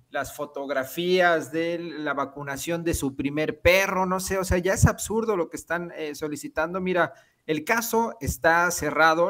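A man giving political commentary as a guest analyst over a headset mic, emphatic and filler-heavy. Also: on the high side. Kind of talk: political commentary on video call. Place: home study with bookshelves. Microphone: headset with boom mic.

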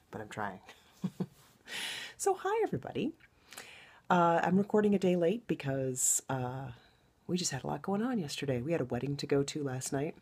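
A middle-aged woman hosting her own podcast, chatting in a rambling way with a low, husky voice from a recent loud wedding, recorded at home. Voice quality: low baritone voice. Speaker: podcast host. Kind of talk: casual podcast monologue.

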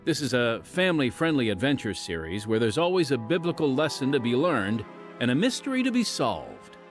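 Guy radio announcer, polished scripted read, lively pitch, music behind. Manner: polished, measured delivery.

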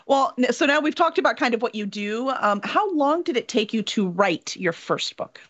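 Animated, higher-voiced woman interviewer. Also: moderate pace. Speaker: host, interviewer. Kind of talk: casual interview chat. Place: indoor home room. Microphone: video call. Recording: dull, lo-fi video call audio.

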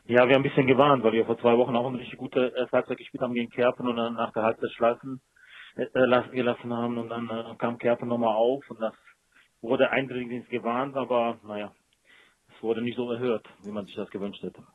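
A man's voice: mid-range voice, little pitch movement, brisk, dry recording. A man speaking as a football coach in a post-match interview over a telephone line. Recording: muffled, dry telephone line.

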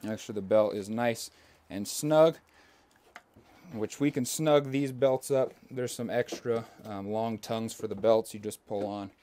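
A man's voice: fairly low pitch, unhurried, dark tone. A young man giving a step-by-step, hands-on demonstration inside a small parked bus, talking steadily with the odd filler as he works.

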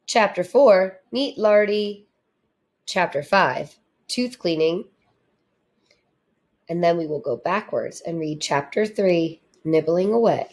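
A woman reading a storybook aloud for children in a deliberate, expressive manner. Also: lowish voice. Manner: deliberate, animated delivery. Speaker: storybook reader, teacher.

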